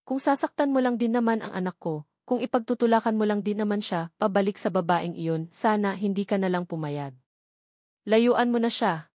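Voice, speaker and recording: Babaeng gumaganap bilang mapait at galit na matandang ina. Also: female voice, mid-range voice, normal speed, a little mellow, no echo, clean studio sound, natural voice. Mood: hurt, pleading anger. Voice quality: hard, trembling voice. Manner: accusing, reproachful. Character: bitter, angry elderly mother defending her wronged son.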